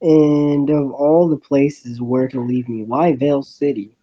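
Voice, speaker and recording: Man, slightly low voice, first-person story narration, halting read-aloud delivery, dull lo-fi audio. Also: fairly even intonation. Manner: halting, stumbling over words while reading. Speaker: visual novel reader. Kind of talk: narrated first-person reading of a visual novel.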